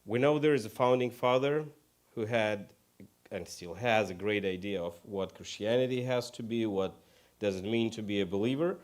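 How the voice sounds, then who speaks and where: A man from Ukraine with a Ukrainian accent preaches informally in English as a second language, halting and self-deprecating. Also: lowish voice. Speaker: guest preacher. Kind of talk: informal sermon.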